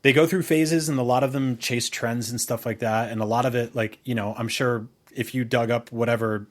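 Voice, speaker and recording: A man's voice: mid-range voice, fairly even intonation, a little fast. A man co-hosting a podcast in casual conversation, rambling informally through memories and opinions, with some swearing. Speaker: podcast co-host. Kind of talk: casual chat, reminiscing about music.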